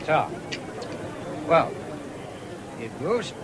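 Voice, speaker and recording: A man's voice: on the high side, big pitch swings, extremely slow, over a noisy crowd, exaggerated voice. Young countryman at a fair: rural English, teasing, free and easy, lightish voice, noisy 1970s radio drama. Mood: cheerful, rueful. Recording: noisy old radio broadcast, fairground din.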